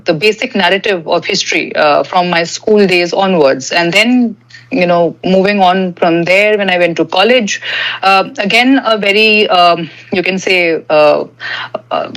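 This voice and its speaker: Woman, from Delhi, podcast guest, measured, explanatory, mid pitch. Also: rough recording. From Delhi, India. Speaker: podcast guest, history author. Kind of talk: conversational interview answer.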